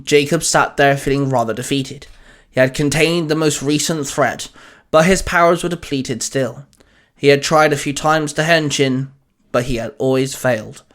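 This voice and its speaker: A man's voice: medium pitch, a bit bright. A man narrating an audio fan fiction story, reading as the narrator.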